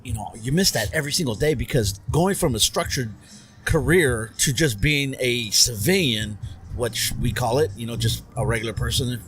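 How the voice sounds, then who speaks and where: A man's voice: medium pitch, moderate pace, fairly bright tone, slight background noise. A middle-aged man, an Army veteran, talking earnestly and rambling with fillers as a podcast guest on a patio. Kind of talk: casual podcast conversation. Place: covered patio with string lights at night.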